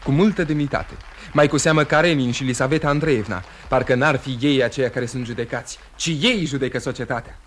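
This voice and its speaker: An actor playing a courteous, composed lawyer in a radio play, explaining things in a measured, polite way. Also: male voice, medium pitch, a little hiss or hum, natural voice. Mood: composed, informative. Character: composed, courteous male lawyer commenting on a trial. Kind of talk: mid-century radio theatre acting.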